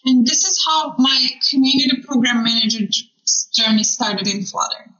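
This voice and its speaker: A woman with a Turkish accent speaks in a high, bright voice. She is a podcast guest recounting her experience conversationally. The sound is roomy and somewhat dull. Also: steady tone, normal speed. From Turkey. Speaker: podcast guest, community program manager. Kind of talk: casual interview storytelling.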